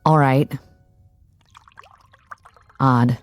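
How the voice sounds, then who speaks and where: A woman playing a level-headed but uneasy codebreaker's assistant in a radio drama, speaking in a measured, thoughtful way. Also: a bass voice, fairly even intonation, no room sound, faint music behind, full character voice. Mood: casual, dry. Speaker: supporting actor.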